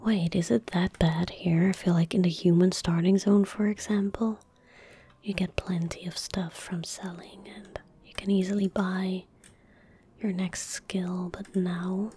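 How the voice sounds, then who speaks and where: A young woman speaking calmly and gently in a soft, breathy whisper, giving casual ASMR gameplay commentary close to the microphone. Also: on the low side. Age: young adult. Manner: calm, gentle, unhurried. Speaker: ASMR gaming streamer. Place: small indoor room at a desk. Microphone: close desk microphone.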